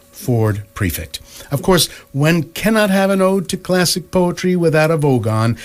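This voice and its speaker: A man's voice: medium pitch, a little mellow, natural voice. A man playing a dry, mock-solemn narrator in a scripted radio play. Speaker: narrator and announcer.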